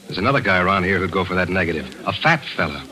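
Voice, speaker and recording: An actor playing a wisecracking reporter who also narrates, in a 1940s radio drama, wry and sardonic. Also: male voice, sits low, lively intonation, pushed and bright, rough recording. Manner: wry, sardonic, fast-talking.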